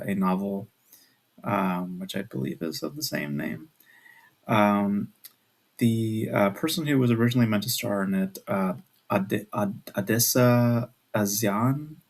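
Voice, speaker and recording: A man in his twenties chatting casually in a vlog, keeping his voice down and rambling hesitantly. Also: on the low side. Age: 20s. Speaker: video creator. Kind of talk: casual video chat. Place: at home at night.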